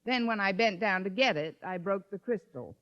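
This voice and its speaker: A woman's voice: mid-range voice, expressive, normal speed, fairly bright tone, natural voice. A wisecracking woman schoolteacher played by an actress in an old radio sitcom. Her delivery is dry and sardonic, yet the melody swings widely, and the sound is muffled and vintage. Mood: deadpan. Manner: dry, sardonic, crisp comic timing. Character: wry, wisecracking woman high school English teacher. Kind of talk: 1950s radio sitcom acting. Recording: dull lo-fi 1950s radio recording.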